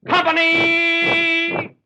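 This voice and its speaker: A man's voice: extremely high pitch, monotone, very slow, very noisy, exaggerated voice. A man playing a fussy, exasperated Ranger major in a 1940s radio comedy, mock-commanding and put-upon. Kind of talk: 1940s radio comedy acting before live audience. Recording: 1940 live radio broadcast.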